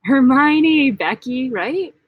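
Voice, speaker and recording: A woman's voice: high voice, expressive, slow, husky, no room sound, phone-quality sound. A young woman hosting a casual live-stream Q&A, chatty and giggly, full of likes. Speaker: vlog host.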